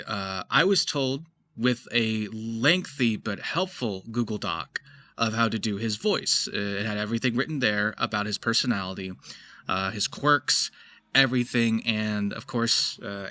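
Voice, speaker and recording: A man's voice: lowish voice, bright, forceful tone. A man speaking as a voice actor, giving casual explanatory commentary on his craft. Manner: slips into gritty character demonstrations. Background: music behind the voice.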